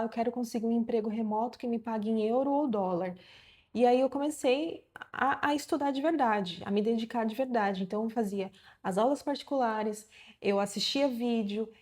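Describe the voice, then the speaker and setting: A woman's voice: fairly high pitch, a little mellow. A woman in her thirties from Brazil, talking casually to camera in an encouraging, chatty way with many fillers. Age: 30s. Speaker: vlogger.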